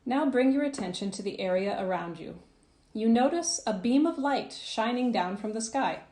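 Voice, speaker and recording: A woman narrating a guided visualisation as a coach, in a soothing, gentle, measured manner with phrases that trail off softly. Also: fairly high pitch, expressive, roomy sound. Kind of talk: guided meditation, scripted narration.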